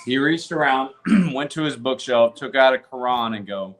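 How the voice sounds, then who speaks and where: Conversational American man, mid-pitched. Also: lively intonation, moderate pace, fairly bright tone. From Tennessee, United States. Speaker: podcast co-host. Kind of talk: casual conversational storytelling and discussion.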